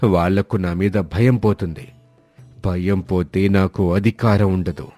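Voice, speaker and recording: Story villain, domineering rural landlord, low-pitched and dark, faint music underneath. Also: male voice, fairly even intonation, unhurried. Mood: scheming. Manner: menacing, domineering. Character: scheming, power-hungry village landlord villain.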